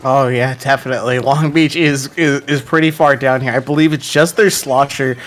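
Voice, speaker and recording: Hoarse excitable male esports caster. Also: medium pitch, moderate pace. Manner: excitable, stumbling restarts, pet phrase "here". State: losing his voice. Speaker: esports commentator. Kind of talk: live play-by-play commentary.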